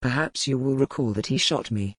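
An actor playing a brooding noble pirate captain in an audiobook, speaking in measured, formal old-fashioned phrasing. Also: male voice, medium pitch, fairly bright tone, slightly rough, no echo. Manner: measured, cold, formal period speech. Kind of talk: audiobook character reading.

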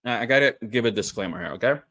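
A man's voice: medium pitch, animated, rapid-fire, fairly bright tone. A young man from India telling a personal story to camera in a candid, self-correcting way. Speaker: vlogger.

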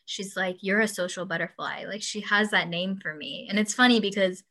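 A woman's voice: mid-range voice, normal speed, sharp tone. A young woman whose first language is Urdu, chatting casually as a podcast host and often quoting people. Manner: chatty, laughs often, quotes people in acted voices. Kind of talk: casual chat.

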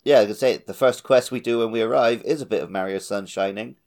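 A man's voice: on the low side, fast, a little mellow. A man co-hosting a gaming podcast, bantering casually in a playful, jokey way. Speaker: podcast co-host.

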